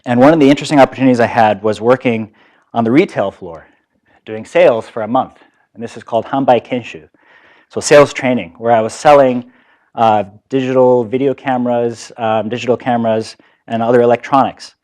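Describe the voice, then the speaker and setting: A man's voice: medium pitch, brisk, a little mellow. A man in his thirties who grew up in Japan, giving a lecture about his career as a startup founder, talking easily with many fillers and restarts. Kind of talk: conference lecture. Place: lecture hall.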